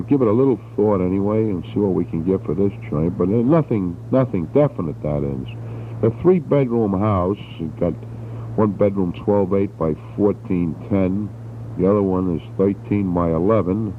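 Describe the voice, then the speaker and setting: A middle-aged man, rambling casually and jokily as he records a spoken letter to his family on a 1960s home cassette. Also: low voice. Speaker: father recording a taped letter. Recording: muffled, noisy 1960s home cassette tape.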